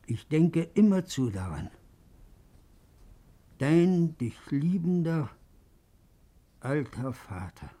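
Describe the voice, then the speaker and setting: Lonely old father in a vintage radio drama, pleading and longing, unhurried delivery, soft dark timbre. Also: a little high, big pitch swings, heavily acted. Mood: tender longing. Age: older. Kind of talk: 1950s German radio drama acting.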